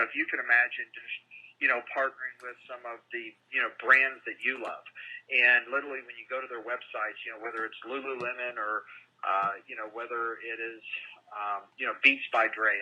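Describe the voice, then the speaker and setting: A man making an emphatic, salesman-like pitch on a conference call, heard over a muffled telephone line. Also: sharp tone, husky. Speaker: company promoter.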